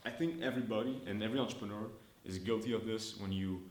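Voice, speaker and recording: A young man talks in a fairly low voice, chatty and upbeat, thinking out loud and restarting his sentences. He records himself in a room that gives the sound a noticeable echo. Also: fast. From Montreal, Canada. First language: French. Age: early 20s. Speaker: startup founder. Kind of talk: casual business vlog update. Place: indoor room, filmed on his own camera.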